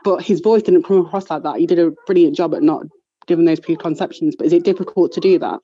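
A woman asking a polite, measured question from the audience. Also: fairly low pitch, mellow, husky, no echo. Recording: dull dry lo-fi sound.

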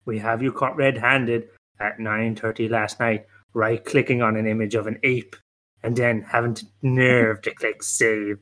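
A man's voice: medium pitch, flat delivery, a little slow, fairly bright tone, natural voice. A man acts out a police detective in a comic radio play. He is mock-stern and patronising, rambling through his interrogation in a folksy, stop-start way.

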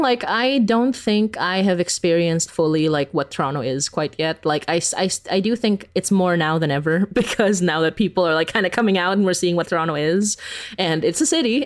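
A woman from the Philippines chatting casually as an interview guest, informal and giggly, peppering her talk with "like". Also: lowish voice. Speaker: interview guest, horror audio drama creator.

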